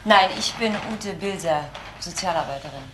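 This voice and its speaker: An actress playing a determined, protective social worker in a radio crime drama, speaking urgently and insistently. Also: female voice, lowish voice, moderate pace, close to an everyday voice. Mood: controlled. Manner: urgent, insistent, repeating key phrases. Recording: noisy radio drama recording with ambience.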